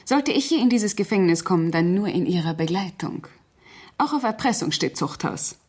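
Husky, controlled, calculating woman. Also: fairly low pitch, animated, normal speed, darkish tone. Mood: cold, controlled. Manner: controlled, precise, commanding. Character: cold, calculating murderess posing as helpful household friend. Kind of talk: German radio crime drama acting.

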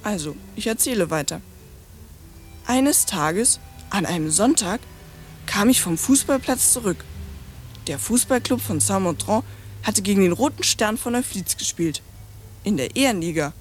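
A woman's voice: medium pitch, lively intonation, moderate pace, pauses often, soft background music, close to an everyday voice. A woman voicing a precocious, bluntly scornful young French girl in a radio play, telling stories in bossy, chatty slang. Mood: chatty, condescending.

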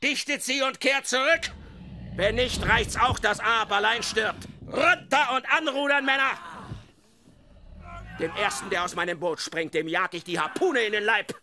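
An actor playing an obsessed, commanding whaling captain in a German radio play, theatrical and gravelly, swinging from mutters to bellowed orders. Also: male voice, sits high, moderate pace, pushed and bright, a little hiss or hum, exaggerated voice. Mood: fierce, commanding.

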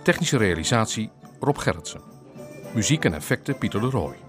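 A man's voice: lowish voice, big pitch swings, fairly quick. A man reading scripted closing credits for a radio drama as its announcer. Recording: clear music behind.

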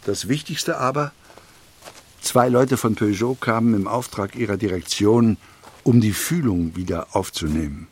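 An actor playing a male industrialist who recalls his business affairs in a measured, formal way for an audio documentary. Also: lowish voice, slight background noise. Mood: measured, satisfied. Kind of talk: first-person reading in a scripted audio documentary.

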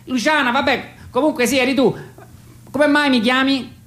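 A man's voice: very high voice, steady tone, normal speed, a bit bright, pitched above the performer's own voice. A man voicing a forgetful, self-absorbed old woman in an offhand way for a comic radio phone dialogue. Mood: vague, dismissive. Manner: blunt, offhand, indifferent.

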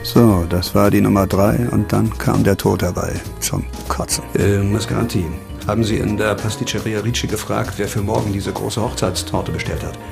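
Cynical male doctor-investigator, lowish gravelly voice, sardonic, dry, radio crime drama. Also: fairly even intonation, normal speed, hardly any pauses, music in the background. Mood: weary, brisk.

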